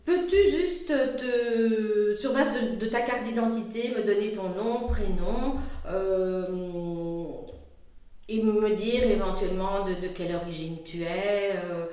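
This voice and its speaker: A woman interviewer asks her questions slowly, stumbling and filling pauses as she goes. Her voice sits mid-range. The recording has heavy room echo. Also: mid-range voice, warm tone. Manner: hesitant, halting with many fillers.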